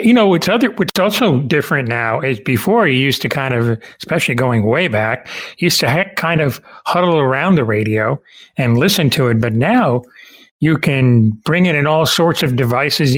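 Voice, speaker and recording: A chatty, enthusiastic middle-aged or older man interviewing a guest in a casual way. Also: mid-range voice.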